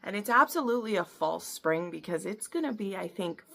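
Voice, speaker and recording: A woman's voice: mid-range voice, expressive, brisk, vocal fry, fairly bright tone, slight background noise. A young woman with a Minnesotan accent, recommending romance books from home in a rambling, chatty way. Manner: chatty and rambling, easily sidetracked. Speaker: book reviewer. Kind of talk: casual chat. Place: home, by a window.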